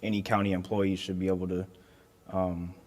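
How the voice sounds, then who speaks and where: A man from county staff answering residents' questions at a public meeting in a school hall, measured and often pausing with "um". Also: deep voice, flat delivery, darkish tone. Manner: measured, with frequent ums. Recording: long hall reverb.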